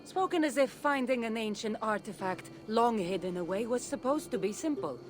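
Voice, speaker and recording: A woman's voice: a little high, lively intonation, normal speed, soft background music, natural voice. An actress playing a seasoned female artifact hunter in a video game, wry and teasing yet solemn about her duty. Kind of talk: video game character dialogue.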